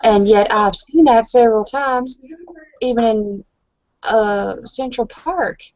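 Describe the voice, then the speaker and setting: A woman's voice: on the high side, slow, a little mellow, no echo, dull audio. A woman playing a tabletop roleplaying game in character, talking casually in an earnest, hesitant manner.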